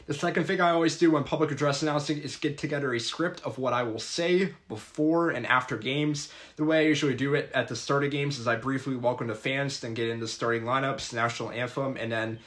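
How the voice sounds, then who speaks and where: A man's voice: medium pitch, fairly even intonation, a little fast, few pauses, a bit bright. A young man, a college student and sports announcer, giving earnest, methodical advice in a scripted vlog. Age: young adult. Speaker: public address announcer.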